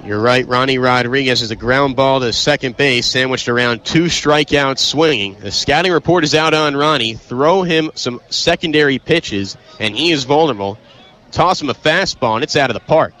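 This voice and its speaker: A young American man calling live baseball play-by-play, emphatic and excitable on big plays. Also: medium pitch, normal speed, low-quality recording. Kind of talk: live sports commentary.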